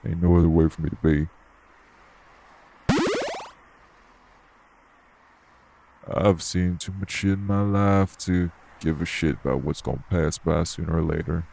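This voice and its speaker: Man acting a low, gravelly, blunt tough guy. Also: steady tone, brisk, warm tone, a little hiss or hum. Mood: blunt, firm. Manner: blunt, laid-back, streetwise slangy talk. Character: gruff, blunt, unbothered tough guy working at a nightclub. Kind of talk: audio roleplay acting addressed to the listener.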